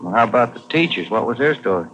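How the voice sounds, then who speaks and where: An actor playing an inquisitive, sympathetic American detective partner in a 1940s radio drama, talking conversationally on an old radio recording. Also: male voice, mid-range voice, lively intonation, quick pace, a bit bright, lightly acted. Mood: curious, concerned. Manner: conversational, questioning. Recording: faintly noisy 1949 radio recording.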